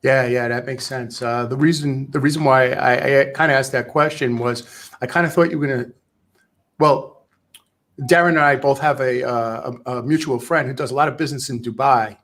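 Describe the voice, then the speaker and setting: A man's voice: medium pitch, fairly even intonation, moderate pace, fairly bright tone. A man hosting a podcast, asking casual follow-up questions in conversation. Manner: conversational, probing follow-ups. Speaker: podcast host. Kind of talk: casual interview chat.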